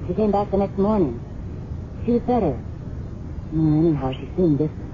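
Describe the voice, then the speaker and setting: A woman's voice: on the low side, animated, a little fast, natural voice. An American actress playing a shaken, candid roommate of a murdered woman in a 1970s radio drama, confiding hesitantly. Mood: troubled, recalling. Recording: muffled, noisy 1970s radio broadcast recording.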